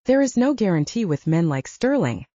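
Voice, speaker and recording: A woman's voice: medium pitch, big pitch swings, moderate pace, mellow, dry recording, highs cut off. A woman voicing a protective, weakened male werewolf in an audiobook, speaking urgently and pleadingly. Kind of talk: audiobook character dialogue.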